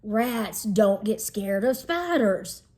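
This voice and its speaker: A woman's voice: medium pitch, animated, slow, warm tone. A woman voicing a cocky, mocking fourth-grade boy in a storybook, taunting and emphatic. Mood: stern.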